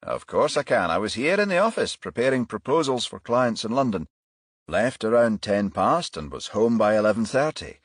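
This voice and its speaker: A man's voice: fairly low pitch, very animated, normal speed, no echo, studio quality, pitched above the performer's own voice. A man voicing a self-assured, unruffled middle-aged businessman in a crime audiobook, speaking matter-of-factly. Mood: cooperative.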